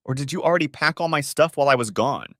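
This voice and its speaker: A man's voice: medium pitch, fast, fairly bright tone, no room sound, studio quality, voice pushed up, lightly acted. A man voicing a sarcastic, wounded husband in a dramatic story reading. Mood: accusing. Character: Steve, sarcastic, wounded husband needling his wife. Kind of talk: audiobook-style dramatic reading of dialogue.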